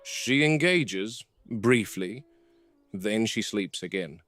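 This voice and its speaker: A man playing a grave, reflective middle-aged game warden, speaking a measured, precise field log in an analog horror drama. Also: lowish voice, expressive, a bit bright, no echo. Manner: measured, solemn, precise phrasing. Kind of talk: scripted monologue as a spoken field log.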